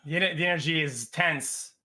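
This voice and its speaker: A high, bright-voiced man who talks very fast, stumbling over restarts as he argues. He gets combative and swears when pushed. His voice sounds tense and sharp. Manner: rapid, halting, combative. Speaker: streamer. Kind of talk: casual debate.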